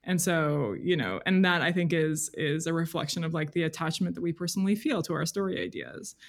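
A woman's voice: low voice, brisk, vocal fry. A woman speaking as an interview guest in casual conversation, thoughtful and hesitant as she works toward her point. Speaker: podcast guest, freelance journalist.

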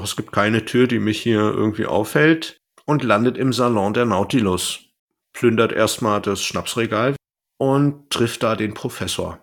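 A man with a fairly low voice talks as a podcast co-host. He retells a story in a loose, colloquial way, with jokey turns of phrase, as part of a casual chat.

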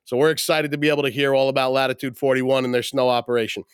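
Laid-back male podcast host, a bit rough. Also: mid-range voice, flat delivery, racing, dry recording, studio quality. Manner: laid-back, joking, swears casually. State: sick, voice still rough. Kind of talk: casual podcast chat and show intro. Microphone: remote call, mutes own mic.

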